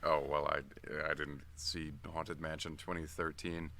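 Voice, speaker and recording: A man's voice: a bass voice, flat delivery, measured pace, pushed and bright. A man co-hosting a podcast, asking questions in casual chat.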